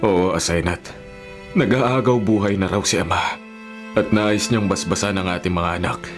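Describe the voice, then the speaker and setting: An actor playing a devout, forgiving governor and loving son in a Filipino radio drama, speaking solemnly in formal diction. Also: male voice, low voice, moderate pace, lightly acted. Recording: radio drama with music underneath.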